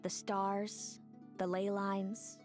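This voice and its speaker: A woman voicing an aloof, world-weary fae seer with a cool, measured, formal delivery in a video game. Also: medium pitch, level tone, a little mellow. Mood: resigned, explaining. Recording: music under the voice.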